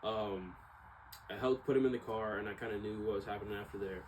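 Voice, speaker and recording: A man's voice: lowish voice, steady tone, fast, darkish tone. A man talking casually to viewers at home in a rambling, slangy, hesitant and reflective personal monologue. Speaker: vlogger. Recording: clearly audible background noise.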